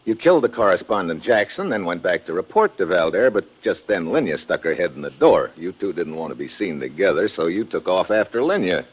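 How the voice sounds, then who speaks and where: Low-voiced, hard-boiled radio investigator. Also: male voice, big pitch swings, a little fast, few pauses, husky, exaggerated voice, rough recording. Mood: wry, tough. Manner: sardonic, clipped. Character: tough, wry male investigator narrating his adventure. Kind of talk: hard-boiled 1950s radio drama acting.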